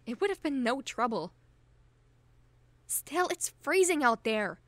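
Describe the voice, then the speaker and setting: A woman's voice: sits high, very animated, slow pace, no room sound, heavily acted. A young woman acting as a friendly, caring best friend with a crush, chatting casually and teasingly in an intimate audio roleplay. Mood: caring, playfully insistent. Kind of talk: intimate ASMR-style audio roleplay, casual one-sided chat.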